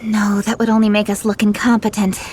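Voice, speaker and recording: A woman's voice: medium pitch, little pitch movement, brisk, a little mellow, slight background noise, natural voice. A woman playing a composed, decisive young noblewoman official in a video game, formal and self-assured. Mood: businesslike.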